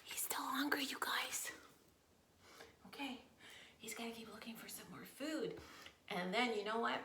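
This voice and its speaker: A woman teaching yoga storytime to young children, encouraging and playful, with expressive storytelling and hushed, breathy moments. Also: a little high, measured pace. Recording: roomy echo with faint noise.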